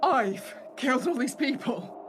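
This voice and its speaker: A woman's voice: sits high, expressive, a little slow. Female actor as shaken young assistant manager, radio drama, hesitant, probing, suspicious, noisy ambient backdrop. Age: young adult. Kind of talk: radio play acting. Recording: noisy recording with background ambience.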